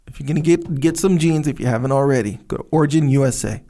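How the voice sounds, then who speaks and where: A man's voice: fairly high pitch, fast, mellow. A man co-hosting a podcast in casual chat, laid-back and rambling, with many fillers and restarts. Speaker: podcast co-host. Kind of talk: casual chat with sponsor reads.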